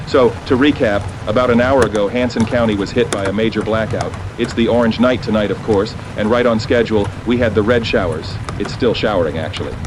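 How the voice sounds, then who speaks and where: An actor playing a concerned, folksy local radio host who calmly guides listeners through an emergency in a horror drama. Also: male voice, on the low side, natural voice. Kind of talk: informal emergency radio broadcast. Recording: clear background noise.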